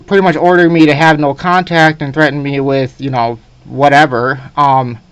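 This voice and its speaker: A man's voice: a little high, fairly even intonation, normal speed, a bit bright, clean tone, no echo. A man on probation talking on a recorded conference call, hesitant and earnest, often saying "you know" as he makes his case. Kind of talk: phone conference conversation. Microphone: recorded phone call.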